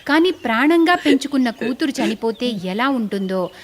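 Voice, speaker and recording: A woman's voice: fairly high pitch, expressive, normal speed. A woman narrating a scripted cartoon story in a dramatic style. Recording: clearly noisy background.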